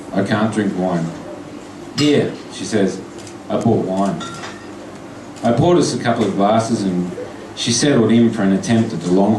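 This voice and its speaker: An Australian man, a poet, reading his poems and flash fiction aloud at a live event, chatty and halting between pieces, slow and deliberate when reading. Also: lowish voice. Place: pub function room. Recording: echoey pub from far off with noise.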